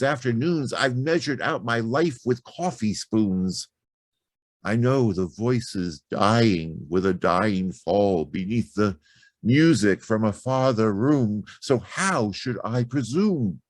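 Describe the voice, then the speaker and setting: A man reciting poetry in a theatrical, deliberate manner with long pauses between phrases. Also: deep voice, darkish tone, no room sound, studio quality. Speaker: poetry reader.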